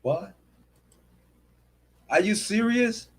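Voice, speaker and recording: A man with a fairly high voice hosting a true crime stream and tearing into a rival. He curses freely and repeats his lines for punch. Between jabs he stops to thank his viewers by name. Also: animated, drawn-out words, husky. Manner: heated, profane and repetitive, calling out a rival. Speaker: true crime channel host. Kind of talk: livestream commentary rant.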